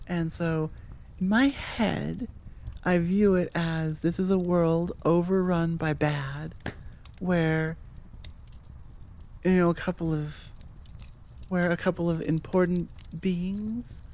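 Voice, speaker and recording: A woman's voice: on the low side, a little mellow. A woman chatting casually to her listeners as an audio creator, in an informal, rambling and self-amused way. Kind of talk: casual outro chat to listeners.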